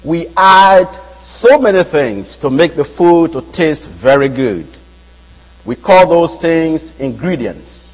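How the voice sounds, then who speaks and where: A young man from Liberia preaches to a church congregation, his fairly high voice swinging widely up and down as he stresses key phrases. He speaks slowly and deliberately. The recording sounds dull and lo-fi, with a long reverb trailing through the sanctuary. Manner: measured preaching, rising emphasis on key phrases. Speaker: guest preacher, Bible student. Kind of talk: sermon.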